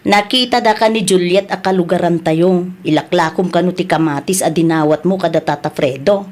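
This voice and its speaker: A woman's voice: fairly low pitch, brisk, darkish tone, close to an everyday voice. An actress playing an exasperated village wife, chiding and exclaiming at her shameless man in an Ilocano comedy radio drama.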